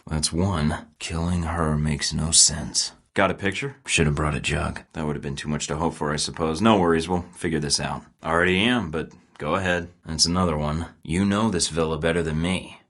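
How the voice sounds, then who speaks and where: A cocky secret agent for a game, deep low voice, dry sarcastic one-liners. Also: male voice, fairly bright tone, heavily acted. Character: wisecracking male secret agent, cool under pressure. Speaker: protagonist of a spy game. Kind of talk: video game voice acting.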